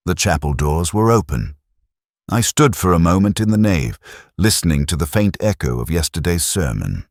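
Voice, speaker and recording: Very deep-voiced, melancholy detective narrator played by a man. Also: dry recording, studio quality, full character voice. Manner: measured, solemn, wistful. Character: melancholy, reflective middle-aged male detective narrating his case. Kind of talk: literary first-person scripted narration.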